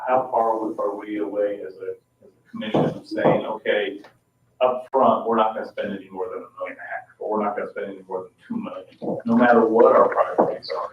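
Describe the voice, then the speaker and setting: An American man, a council member speaking informally at a public meeting, halting as he searches for words. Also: fairly low pitch, a little hiss or hum. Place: indoor public meeting room. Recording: roomy, distant, muffled meeting-room mic.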